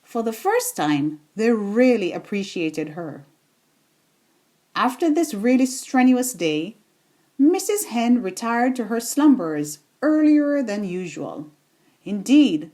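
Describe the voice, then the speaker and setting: A woman reading a children's bedtime story in an expressive, deliberate storytelling manner. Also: mid-range voice.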